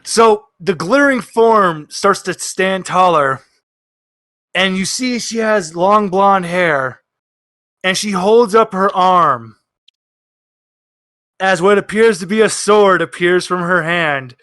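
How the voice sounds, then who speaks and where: A man narrating a tabletop roleplaying game as game master, casual, excitable and theatrical. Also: high-pitched, takes it slowly, no echo, clean studio sound.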